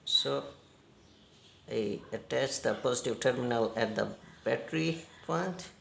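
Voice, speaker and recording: Slow, gravelly man giving halting instructions. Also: mid-range voice, a bit bright, a little hiss or hum. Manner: halting, step-by-step instructions in short phrases. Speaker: repair tutorial host. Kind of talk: unscripted hands-on repair demonstration.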